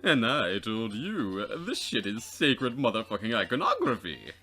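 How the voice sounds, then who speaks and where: A man voicing a swaggering, foul-mouthed troll clown with a loud, honking, drawn-out delivery in a game read-aloud. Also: on the low side, sing-song, full character voice. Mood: belligerent.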